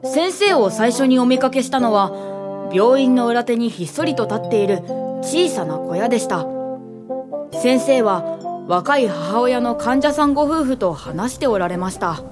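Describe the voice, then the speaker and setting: A woman's voice: a little high, lively intonation, normal speed, over loud music, natural voice. An actress playing an earnest young woman, a respectful junior colleague, narrating and acting politely in a radio drama. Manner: polite, deferential.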